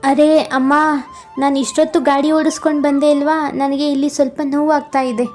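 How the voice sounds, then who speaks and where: A woman voicing a gentle, affectionate young married man in an animated storybook, speaking earnestly. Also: high voice, normal speed, soft background music, lightly acted.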